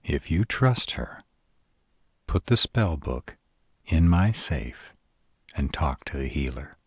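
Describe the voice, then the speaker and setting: A man's voice: rumbling low, a little mellow, breathy edge, dry recording, clean studio sound, exaggerated voice. A man playing an arrogant, formal and authoritative vampire prince in a roleplay audio drama. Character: arrogant, commanding vampire prince, wary and strategic.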